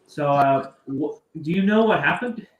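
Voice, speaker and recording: A man's voice: on the high side, expressive, unhurried, dull audio. A man joining a livestream as a guest, asking his question politely and stumbling over words. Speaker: call-in guest. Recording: echoey, distant, faint noise.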